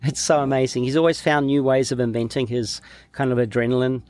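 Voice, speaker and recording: A middle-aged man from New Zealand, a former pro snowboarder, telling stories in a relaxed interview. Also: mid-range voice, a little fast. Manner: relaxed storytelling, trails off with fillers. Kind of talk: casual interview chat.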